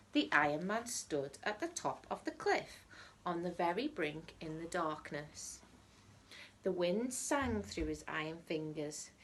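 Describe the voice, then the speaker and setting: A woman reading a children's story aloud like a teacher, in a dramatic, deliberate storytelling manner. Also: on the low side, slight background noise. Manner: dramatic, deliberate storytelling with emphatic repetition and hushed phrases.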